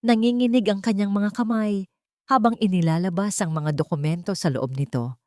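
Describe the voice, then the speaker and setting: A woman's voice: medium pitch, moderate pace, mellow, dry recording, clean studio sound. A woman with a Filipino accent narrating a scripted dramatic story as an audiobook.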